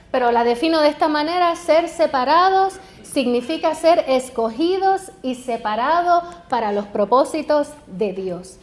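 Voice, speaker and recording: A woman in her forties from Puerto Rico with a Puerto Rican Spanish accent, a guest pastor preaching a sermon in a personal, joking way. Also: sits high. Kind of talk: sermon to a congregation. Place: church sanctuary. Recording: echoey church hall with faint background.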